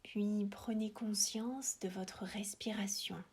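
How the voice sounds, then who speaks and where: A woman with a medium-pitched voice guides a deep relaxation session. Her delivery is very slow and hushed, in short measured phrases with pauses between them. The ends of her phrases often soften into a breathy near-whisper. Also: fairly even intonation. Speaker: yoga nidra relaxation guide.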